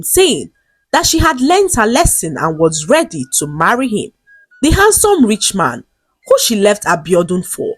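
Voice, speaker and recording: A woman storyteller delivers a scripted folktale with highly animated pitch. Her voice has a husky edge, and the recording is close and dry. Her phrasing is deliberate and dramatic. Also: dry recording.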